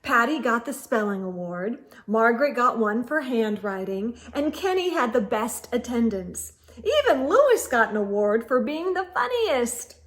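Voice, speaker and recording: A woman narrates a children's picture book in a high voice. She reads slowly and deliberately, with expressive storytime delivery meant for young listeners.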